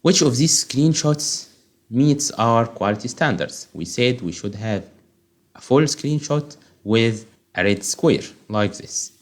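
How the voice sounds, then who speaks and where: A man's voice: medium pitch, expressive, slow. Arabic-accented man teaching a tutorial. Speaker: online course instructor. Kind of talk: informal screen-share tutorial, explaining step by step.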